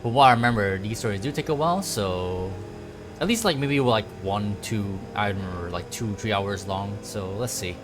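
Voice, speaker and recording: A man's voice: on the low side, lively intonation, moderate pace. A man giving casual livestream gameplay commentary, rambling and thinking aloud. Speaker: game streamer. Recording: music behind the voice. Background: game music.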